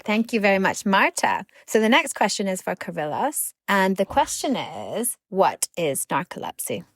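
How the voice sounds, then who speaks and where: A woman neuroscientist hosting a sleep science podcast, presenting clearly from a script. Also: medium pitch, very animated, normal speed, no room sound.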